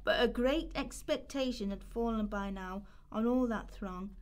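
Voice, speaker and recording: A woman with a fairly high voice narrates for a radio play. She reads descriptive literary prose from a script, as a storyteller. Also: unhurried, darkish tone.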